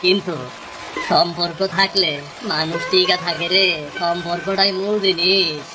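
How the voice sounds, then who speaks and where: A woman's voice: on the low side, steady tone, measured pace, music in the background, natural voice, low-quality recording. A woman voicing an honest, hurt older-brother fisherman in a cartoon, earnest and admonishing, with a rural Bangladeshi Bengali accent. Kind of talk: cartoon story dialogue.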